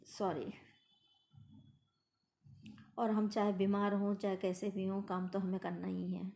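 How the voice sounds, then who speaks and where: A middle-aged woman with a hoarse voice, chatting homely to her viewers as she narrates her daily routine. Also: mid-range voice, flat delivery, slow, dark tone. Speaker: vlogger.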